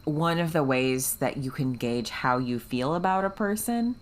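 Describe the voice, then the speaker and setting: A woman's voice: extremely low pitch, animated, a little slow. A woman co-hosting a book podcast, talking casually in an emphatic, gushing, sweary way. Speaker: book club podcast co-host.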